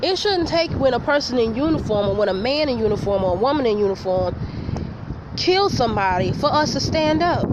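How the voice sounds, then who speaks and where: A woman's voice: sits high, lo-fi sound, heavy background noise. A woman from North Carolina, sounding nasal from a cold, talking in a casual, rambling vlog with many restarts. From Charlotte, North Carolina, USA. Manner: rambling, frequent restarts, grows heated. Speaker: vlogger. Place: outdoors, near passersby.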